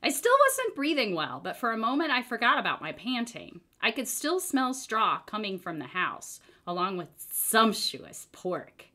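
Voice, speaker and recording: Lady reading a kids book out loud, bright voice, big swoopy theatrical delivery. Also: a little high, moderate pace, hardly any pauses. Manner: expressive, theatrical. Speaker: storybook reader. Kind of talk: dramatic children's book read-aloud.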